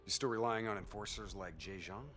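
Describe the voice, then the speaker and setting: An actor playing a brash, persuasive special forces operative in a video game cutscene. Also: male voice, fairly low pitch, brisk, fairly bright tone, close to an everyday voice. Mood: sly, confident. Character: gruff, smooth-talking male special forces operative. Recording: music behind the voice.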